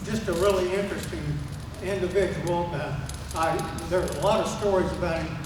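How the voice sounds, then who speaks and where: An older man from Oklahoma with a fairly high voice, rambling through family stories with many pauses and restarts. He sounds far from the mic in a big echoing hall with loud room noise. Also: steady tone. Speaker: speaker at a ceremony, brother of the honoree. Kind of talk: casual anecdotal storytelling. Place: museum event hall.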